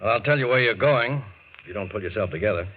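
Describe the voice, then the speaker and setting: A man's voice: lowish voice, expressive, a little hiss or hum, lightly acted. Man acting firm doctor, muffled old radio. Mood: stern. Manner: brisk, commanding. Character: firm, stern, no-nonsense male doctor.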